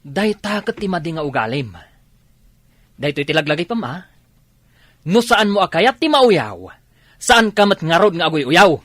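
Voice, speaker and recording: Man acting sharp, exasperated Ilocano husband. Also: fairly high pitch, very animated, moderate pace, pauses often, dry recording, studio quality. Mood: scolding. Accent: Filipino, Ilocano. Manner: nagging, challenging questions. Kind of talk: Ilocano comedy radio drama acting.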